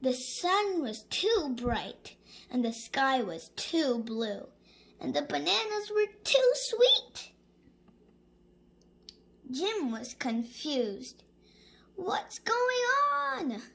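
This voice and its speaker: A woman reading children's stories aloud in an expressive, dramatic way, with suspenseful pauses. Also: high-pitched, vocal fry. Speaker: storybook reader.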